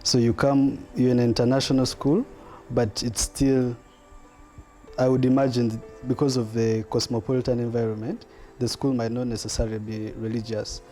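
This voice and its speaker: A man hosts a conversation and puts probing questions to his guest. His voice stays flat and even in pitch. He often completes the guest's thoughts for him. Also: moderate pace, soft background music. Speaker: interviewer host. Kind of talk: conversational interview.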